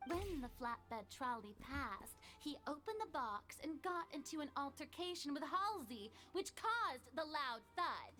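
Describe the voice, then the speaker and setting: A woman's voice: high voice, sing-song, measured pace, hardly any pauses, sharp tone, faint music behind, full character voice. A woman voicing a haughty, theatrical young female deity in a video game, making a pompous, declamatory accusation. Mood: accusing, assured.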